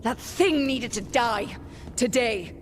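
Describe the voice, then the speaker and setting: An actress playing a resolute, loyal adventurer in a fantasy video game, speaking in a direct, plain way. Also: female voice, on the high side, slow, a little hiss or hum. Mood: urgent, frustrated.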